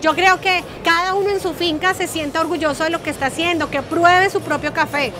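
Very high-pitched Colombian woman, emphatic. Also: fairly even intonation, a little fast. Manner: emphatic, encouraging. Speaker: coffee business owner interviewed. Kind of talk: casual interview answer. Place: coffee trade fair. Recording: music and crowd behind voice. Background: music and crowd.

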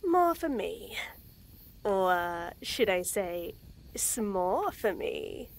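A young woman in a scripted roleplay audio, talking to the listener with nervous stammers, frequent giggles and soft whispers. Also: medium pitch, big pitch swings, drawn-out words, creaky. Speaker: voice actress in a girlfriend audio.

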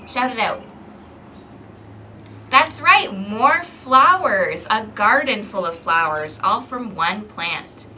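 A woman in her thirties hosting an interactive storytime for young children, animated and deliberate, recorded outdoors at a distance from the microphone. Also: fairly high pitch. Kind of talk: interactive picture-book read-aloud for young children. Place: outdoors on an apartment balcony. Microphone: camera microphone a few feet away. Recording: outdoor balcony, distant mic, ambient noise.

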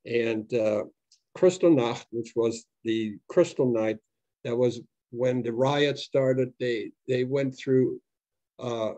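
An older man from Austria telling his story to students in an unscripted, halting way, with many fillers and restarts, on a video call. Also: medium pitch, dark tone, dry recording. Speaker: Holocaust survivor speaking to students. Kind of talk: unscripted testimony talk. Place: home room on a video call. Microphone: video call webcam. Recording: dull, slightly lo-fi video call audio.

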